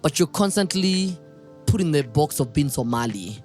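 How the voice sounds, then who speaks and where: A man hosts a podcast conversation in a slightly high voice. He asks long, searching questions and keeps nodding along with easy yeahs. Faint music runs underneath the talk. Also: animated, a little mellow. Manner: thoughtful, drawn-out questions with frequent affirming "yeah". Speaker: podcast host. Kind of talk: casual interview chat.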